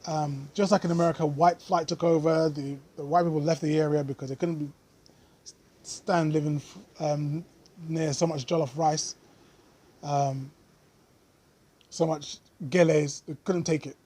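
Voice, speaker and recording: Brief: male vlogger, slightly high and husky voice, earnest unscripted commentary. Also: steady tone, a little mellow. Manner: earnest, thoughtful, with frequent pauses and fillers.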